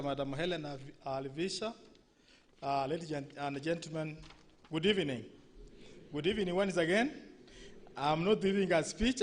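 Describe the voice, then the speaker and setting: A man's voice: a little high, a little hiss or hum. A man with a Kenyan accent, a ministry director giving formal salutations at a launch event, with frequent hesitations. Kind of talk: formal address.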